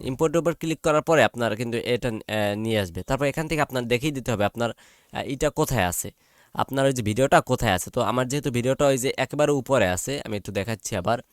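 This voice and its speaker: A man with a Bangladeshi accent giving an informal step-by-step software tutorial, repeating himself and often checking in with listeners. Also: medium pitch, no room sound, clean studio sound. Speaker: tutorial presenter.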